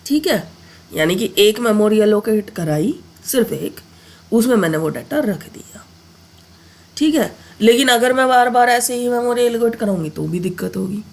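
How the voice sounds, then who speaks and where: A woman's voice: medium pitch, creaky. A woman with a sore throat and a cold, teaching a programming lesson in a casual way and often asking her students "okay?" with a lift in her voice. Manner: keeps checking in with a rising "okay?". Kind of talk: casual Hindi coding lesson.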